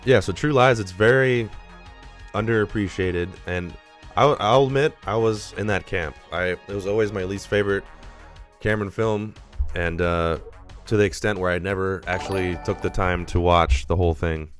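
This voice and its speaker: A man's voice: low-pitched, fairly even intonation, normal speed, soft background music. A man hosting a live movie-review stream, talking casually and rambling, a little nasal from being sick. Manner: rambling, casual, swears freely.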